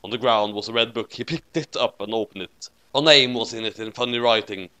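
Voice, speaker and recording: A man's voice: fairly low pitch, fairly quick, bright, forceful tone. A man giving a theatrical, mock-dramatic scripted narration of a comic story. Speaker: narrator reading a comedic fan fiction.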